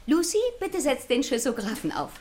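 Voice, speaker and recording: An actress playing a flustered, nerdy expert lecturing children in stilted technical language in a radio play. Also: female voice, high-pitched, very animated, normal speed, darkish tone. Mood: explaining. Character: flustered, nerdy woman expert teaching children about fear.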